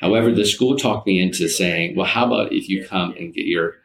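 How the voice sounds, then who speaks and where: Middle aged guy, really low voice, chatting casually about himself like an interview guest. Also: fairly quick, creaky, a bit bright. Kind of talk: casual personal storytelling in an interview.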